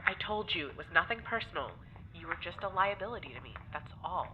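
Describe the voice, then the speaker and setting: A woman's voice: lowish voice, animated, fast, natural voice. Muffled, noisy, sardonic woman actor. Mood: cold, sarcastic. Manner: dry, sardonic, blunt. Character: sarcastic, abrasive unrepentant woman exploring another's mind. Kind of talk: naturalistic audio drama acting. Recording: muffled lo-fi sound with clear noise.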